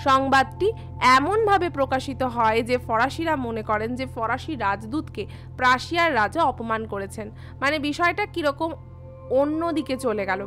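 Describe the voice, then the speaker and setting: A woman's voice: sits high, animated, normal speed, bright, forceful tone. A woman with a West Bengal Bengali accent presenting a scripted lesson to students, explaining directly to the listener. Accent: West Bengal Indian Bengali. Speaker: teacher, presenter of an educational channel. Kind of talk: scripted exam lesson and channel promotion, addressed to students. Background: music.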